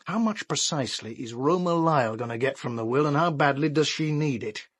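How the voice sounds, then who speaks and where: A man's voice: a little high, expressive, a little fast, a bit bright, no room sound. A British actor playing a shrewd, dryly sardonic police inspector in a radio drama, wry and probing in his questioning. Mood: wry, speculative. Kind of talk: full-cast radio drama.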